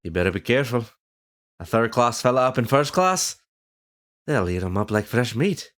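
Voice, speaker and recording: A man's voice: medium pitch, animated, moderate pace, a bit bright, lightly acted. A man playing a friendly, cautious young working-class fellow with an Irish accent in an audio drama. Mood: teasing caution.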